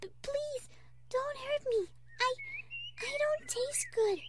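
A very high, airy young mare from a cartoon story. The pony is timid and gentle, speaking softly and hesitantly with sweet politeness. Her pitch lifts and dips a lot, over a noisy recording. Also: lively intonation, measured pace. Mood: frightened. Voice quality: soft, breathy, airy. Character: timid gentle young female pony pegasus, soft-spoken.

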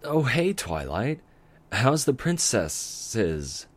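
An eager, bookish young unicorn mare with a bright, kind manner, pitched high and lifted above the reader's deep voice, as a man puts her on. She speaks louder and brighter than the narration, with a gentle warmth. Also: big pitch swings, extremely slow, heavily acted. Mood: friendly. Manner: bright, kind, raised falsetto. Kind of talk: fan story reading.

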